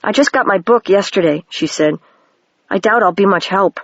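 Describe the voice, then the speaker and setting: A bold, curious young girl wizard, read by a single narrator. She sits a bit higher than the reader's own voice. The sound is dry and muffled, like a home recording. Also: moderate pace, no room sound, close to an everyday voice.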